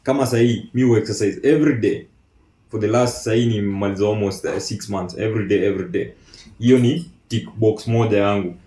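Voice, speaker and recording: Mellow Kenyan man in an echoey room. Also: fairly low pitch, fairly quick. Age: 20s. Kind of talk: casual debate, switching between Swahili slang and English.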